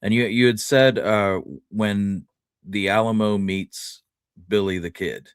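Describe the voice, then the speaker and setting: A man hosting a podcast, chatting casually and pausing often mid-sentence. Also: lowish voice, fairly even intonation, clear, resonant tone, dry recording, studio quality. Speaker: host.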